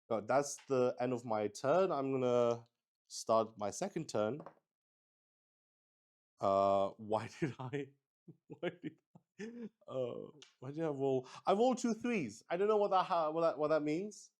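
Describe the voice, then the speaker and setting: A man casually improvising play-by-play of a solo tabletop game as its host, haltingly thinking aloud and talking to himself. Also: mid-range voice, lively intonation.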